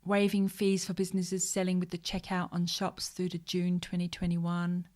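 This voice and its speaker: A woman's voice: on the low side, monotone, moderate pace, a little mellow. Chatty woman hosting a livestream. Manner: chatty, mutters asides to herself while searching; exasperated, joking rants. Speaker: host of a social media news livestream. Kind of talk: casual livestream news commentary.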